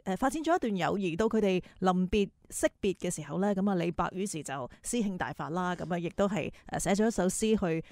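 A woman's voice: on the low side, lively intonation, fast talker, few pauses, a little mellow, dry recording, clean studio sound. A woman with a Hong Kong Cantonese accent, chatting and explaining as a radio host, with the odd English word mixed in. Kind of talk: casual explanatory radio commentary.

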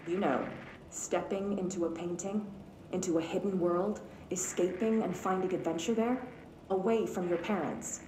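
A woman plays a calm therapist who probes with question after question in a dark, mellow voice. The room around her is echoey, with a faint hiss behind. Her tone stays level and patient. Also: on the low side, moderate pace, natural voice. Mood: probing. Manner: probing, steady string of questions. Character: calm probing female therapist interviewer.

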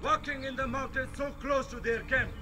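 An actor playing a calm male rebel commander who questions a captive in a measured way. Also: very high-pitched, music in the background. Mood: probing. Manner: measured, interrogating. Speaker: armed group leader questioning a captive.

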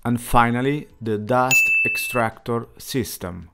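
A man's voice: mid-range voice, slow, vocal fry. A man in his forties, host of a woodworking channel, giving a plain scripted voice-over that walks through a build in slightly non-native phrasing. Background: faint background music.

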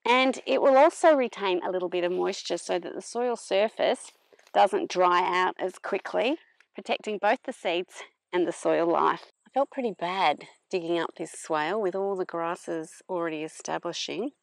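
A middle-aged woman narrating a farm vlog in a plain-spoken, unhurried explaining manner. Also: fairly low pitch, vocal fry, no room sound. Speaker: vlogger, permaculture farmer.

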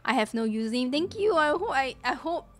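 A young woman in her twenties streaming from a small room into a desk mic, chatting casually with viewers in a slightly hoarse voice. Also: high voice, lively intonation, a little fast. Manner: chatty, greets viewers, many fillers. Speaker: livestreamer. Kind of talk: casual gaming stream chat. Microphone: desk mic in front of her. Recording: music playing behind the voice.

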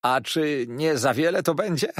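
A man's voice: a little high, expressive, measured pace, no echo, studio quality, voice pushed up. A man voicing a sly, jovial, chatty manservant who exclaims theatrically in a storybook reading. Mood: teasing. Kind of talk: dramatised audiobook reading.

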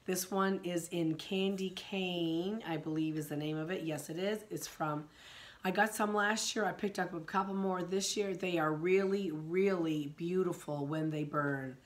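A middle-aged woman, hoarse from a sinus infection, chatting casually about candles in her living room, rambling with many ums and restarts. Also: lowish voice, fairly even intonation, measured pace. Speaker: vlogger. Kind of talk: casual chat reviewing candles.

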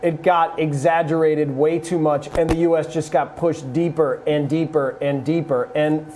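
Man, ex coach turned analyst, measured, fairly high voice, studio lapel mic. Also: fairly even intonation, a little mellow, slight background noise, poor audio. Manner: measured, draws on coaching experience. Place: TV studio desk.

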